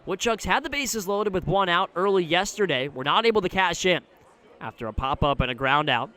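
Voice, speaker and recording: An American man calling baseball play-by-play for radio, describing each moment in detail in a live outdoor broadcast. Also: sits high. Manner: measured description, excited on big plays. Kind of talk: live sports commentary. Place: outdoor ballpark broadcast position.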